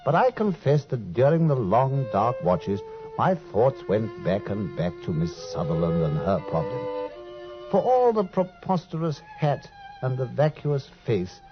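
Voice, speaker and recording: An actor playing an earnest, loyal male doctor in a vintage radio drama, speaking eagerly and also narrating. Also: medium pitch. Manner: eager, earnest, quick to exclaim. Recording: dull, husky old radio recording.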